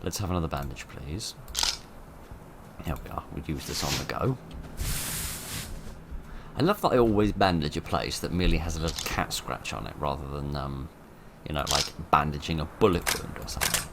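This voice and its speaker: Hoarse low-voiced man playing a game. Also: fairly quick, heavy background noise. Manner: chatty, wry, exasperated outbursts at the game. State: getting hoarse. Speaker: gaming commentator. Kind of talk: let's play commentary.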